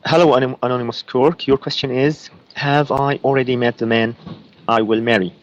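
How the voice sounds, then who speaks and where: Man, lebanese accent, arabic native, halting speech full of fillers, dull muffled call audio. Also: medium pitch, fairly even intonation. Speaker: psychic guest. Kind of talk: giving psychic readings on a call-in show.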